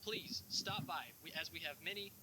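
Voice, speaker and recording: A man playing an earnest, polite park ranger presenting scripted announcements in a cheesy low-budget visitor video. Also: normal speed, sharp tone. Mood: upbeat, official. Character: cheery, earnest male park ranger hosting safety announcements. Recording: noisy found-footage park video.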